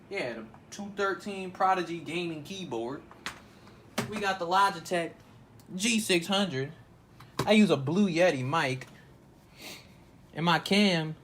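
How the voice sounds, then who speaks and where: A man streamer giving a relaxed, slangy tour of his gaming setup with a playful edge. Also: sits high, a little hiss or hum. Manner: laid-back, slangy, playful.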